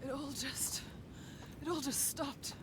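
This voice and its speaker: A woman's voice: high-pitched, fairly even intonation, slow pace, very noisy. A woman playing a haunted, guarded and resolute warrior in a dramatic video game. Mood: shaken. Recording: loud score over the voice.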